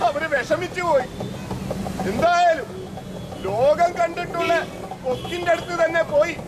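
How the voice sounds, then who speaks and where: High-voiced street puppet show narrator. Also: male voice, normal speed. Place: outdoor street. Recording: outdoor street show with loud crowd and traffic.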